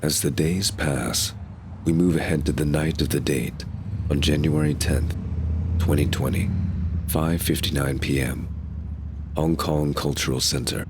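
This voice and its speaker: A man's voice: very deep voice, fairly even intonation, unhurried, noisy background. A man narrating a scripted audio drama in the present tense, as a storyteller. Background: music.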